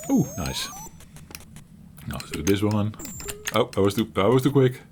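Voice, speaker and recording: A middle-aged man, his low voice rising and falling a lot as he plays an old game and talks it through. He pauses to search for his words and laughs at himself, while soft music plays faintly underneath. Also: big pitch swings. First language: Dutch. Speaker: retro gaming channel host. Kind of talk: reflective gameplay commentary.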